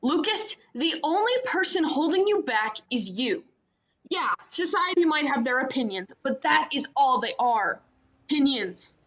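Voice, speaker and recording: A woman's voice: sits high, lively intonation, measured pace, fairly bright tone, lo-fi sound. A woman playing a caring but blunt sister in a radio play, teasing and insistent with her brother. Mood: insistent, supportive.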